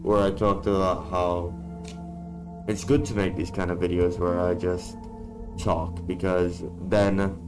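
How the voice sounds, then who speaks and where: A man with a very low voice talks straight to camera, blunt and given to casual swearing. His voice sounds worn from being sick, and music plays clearly behind him. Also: level tone, slow. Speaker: YouTuber. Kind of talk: casual talking-head vlog. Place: dark room indoors.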